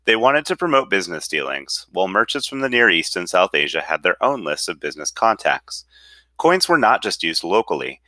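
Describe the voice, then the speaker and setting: A man's voice: sits low, sharp tone, no room sound. A man reading an article aloud with careful enunciation. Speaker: reader.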